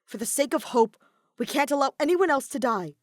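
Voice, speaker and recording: An earnest robot boy, voiced by a woman in a fan fiction reading, precise and formal in phrasing. He is hopeful and urgent, with lively pitch in a dry, close recording. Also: brisk, no room sound. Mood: firm, earnest.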